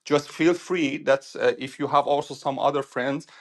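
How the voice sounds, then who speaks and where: Male host, phone audio, halting earnest English. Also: fairly high pitch, steady tone. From Iran. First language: Persian. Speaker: room host. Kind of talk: audio chat room discussion. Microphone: phone in a voice chat app.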